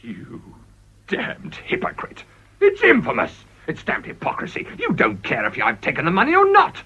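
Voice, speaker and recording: A man's voice: on the high side, wildly swooping pitch, normal speed, pushed and bright, slightly rough, full character voice. An actor playing a ruthless, desperate middle-aged businessman in a radio drama, with a British accent and a blustering, wheedling delivery. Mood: bitter fury. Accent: British English. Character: ruthless, desperate, smooth-talking male businessman and political climber. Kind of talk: radio play acting. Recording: dull, muffled old radio recording.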